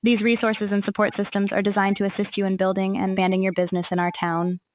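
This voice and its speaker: A woman's voice: mid-range voice, little pitch movement, fast talker, no echo, narrow, tinny audio. A woman's voice as an AI assistant, giving scripted, formal informational answers in a customer-service manner.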